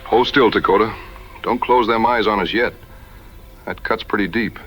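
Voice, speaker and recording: Male actor as rugged frontier hunter, lowish forceful voice, folksy and plainspoken, audio adventure drama, music underneath. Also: normal speed, close to an everyday voice, poor audio. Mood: steady, concerned.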